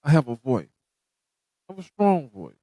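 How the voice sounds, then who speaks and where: A man's voice: on the high side, big pitch swings, normal speed, mellow, dry recording. A man hosting a podcast, giving a direct, motivational talk that repeats its points. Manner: preachy, direct, repeats himself. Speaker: podcast host.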